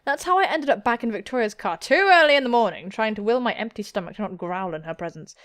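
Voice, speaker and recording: A woman hosting a let's play, joking bawdily and excitably as she reads visual novel text aloud. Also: on the high side, fast. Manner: bawdy, excitable, self-mocking joking. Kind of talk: playful gaming commentary while reading visual novel text aloud. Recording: music playing behind the voice.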